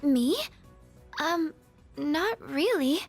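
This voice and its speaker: A woman voicing a shy, gentle and earnest teenage schoolgirl in a video game, speaking softly and hesitantly. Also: high-pitched, lively intonation, drawn-out words, soft background music, full character voice. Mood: hesitant, kind. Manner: soft-spoken, hesitant, apologetic. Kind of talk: English video game voice acting.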